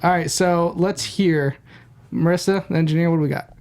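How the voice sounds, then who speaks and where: A man co-hosting a casual podcast, playful and quick to laugh. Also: fairly high pitch, fairly even intonation, darkish tone, clear, resonant tone. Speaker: podcast co-host.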